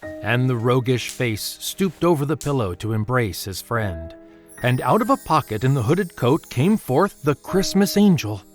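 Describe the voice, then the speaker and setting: A man narrating a Christmas story in an audio drama, with measured, expressive literary delivery. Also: medium pitch, lightly acted. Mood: measured wonder. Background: music under the voice.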